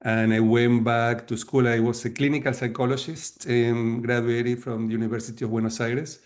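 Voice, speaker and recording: Argentine-accented man, flat even delivery. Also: medium pitch. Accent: Argentine Spanish. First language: Spanish. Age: middle-aged. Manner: measured, explanatory, frequent fillers and restarts. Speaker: guest author and leadership coach. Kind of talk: webinar talk.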